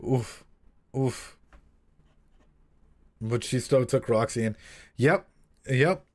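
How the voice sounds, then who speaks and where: A man's voice: medium pitch, extremely slow, a little mellow. A man hosting a livestream in a casual, slangy, sweary way, rambling through reaction and analysis and often repeating his phrases. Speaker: livestream host and practising therapist.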